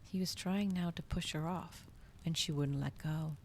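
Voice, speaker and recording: Female ASMR reader, low and creaky, hushed delivery drifting into whispers, faint noise behind. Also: normal speed. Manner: hushed, often slipping into whispers. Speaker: ASMR streamer reading a novel aloud. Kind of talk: ASMR storybook reading.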